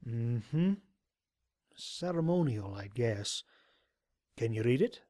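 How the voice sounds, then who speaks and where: A man plays an ageing male pony scholar from an audio drama. He talks slowly and deliberately, dry and a little hesitant, like a learned explorer who will not be hurried. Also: mid-range voice, expressive, mellow, no room sound. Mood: scholarly. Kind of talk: audio drama character acting.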